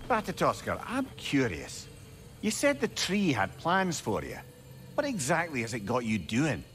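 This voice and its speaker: A man's voice: mid-range voice, wildly swooping pitch, measured pace, fairly bright tone, faint music behind. A man playing a witty, inquisitive severed-head companion in a video game, genial and conversational. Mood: curious. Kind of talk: video game character acting.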